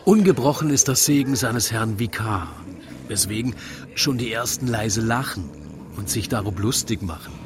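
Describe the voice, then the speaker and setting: A man narrating a scripted rhyming story in a theatrical, deliberate storytelling manner. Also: fairly low pitch, expressive, noisy background.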